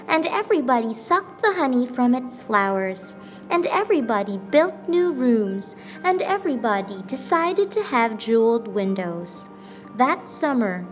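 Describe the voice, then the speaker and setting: A woman reading a children's picture book aloud as a teacher, with expressive, deliberate phrasing and pauses for emphasis. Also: fairly high pitch. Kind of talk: scripted storybook narration. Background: background music.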